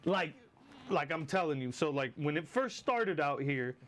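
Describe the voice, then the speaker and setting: Man, 40s, Lebanese accent, slightly high voice, animated storytelling, lots of swearing. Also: a little slow. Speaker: battle rapper guest. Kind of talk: casual chat.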